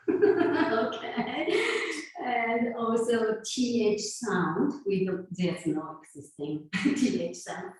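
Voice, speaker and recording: Slow Japanese-accented woman in her fifties. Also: fairly high pitch. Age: 50s. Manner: unhurried, halting, soft laughs between thoughts. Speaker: guest, sound healer. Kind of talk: conversational interview. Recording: roomy echo, far from mic.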